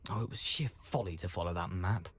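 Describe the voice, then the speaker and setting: An actor playing the jaunty, theatrical ghost of a beheaded gentleman in a video game, with a British accent and a flowery, wry, genteel delivery. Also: sits low, brisk, breathy edge, dry recording, clean studio sound. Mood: wry, rueful.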